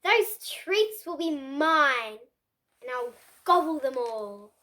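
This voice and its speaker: A sneaky storybook pug dog, acted out by a child schemes and boasts in a very high, lively voice. He is selfish and greedy, and takes his time over his words. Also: slow pace, heavily acted. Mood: scheming.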